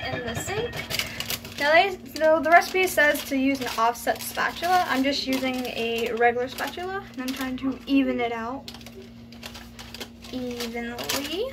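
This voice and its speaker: A teenage girl filming a casual cooking demonstration in a small home kitchen on a phone, chatty and hesitant, often trailing off mid-sentence. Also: high-pitched. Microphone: phone camera on the counter. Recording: home video with background noise.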